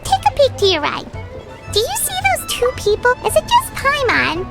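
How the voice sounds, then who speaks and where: A woman voicing a small, bossy, excitable childlike fairy companion in a video game, emphatic and quick to suspect, speaking of herself in the third person. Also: very high voice, vocal fry, heavily acted. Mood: conspiratorial, eager. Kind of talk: animated video game voice acting. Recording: music behind the voice. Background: background music.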